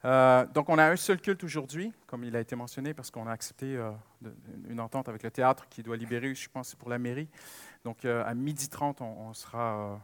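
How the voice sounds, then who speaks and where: A man's voice: medium pitch, fairly quick, clean tone, no echo. A man with a French Canadian accent preaching a sermon with personal stories, emphasizing and repeating key phrases.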